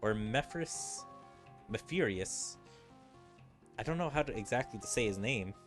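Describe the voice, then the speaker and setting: A man's voice: mid-range voice, expressive, a little slow. Musing male gamer over game music. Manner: musing, speculative. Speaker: gaming streamer. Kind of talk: casual game commentary, thinking aloud.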